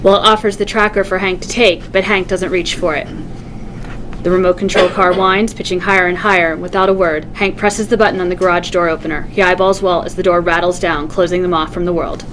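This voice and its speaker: Female narrator for a dramatic table read, fairly low voice, vivid scripted delivery, slight background noise. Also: steady tone, a little fast. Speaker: stage directions narrator.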